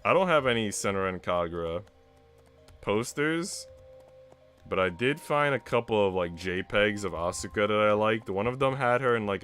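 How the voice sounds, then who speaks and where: A man's voice: lowish voice, fairly bright tone. A man livestreaming in casual, conversational chat with his viewers. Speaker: livestreamer reading visual novels.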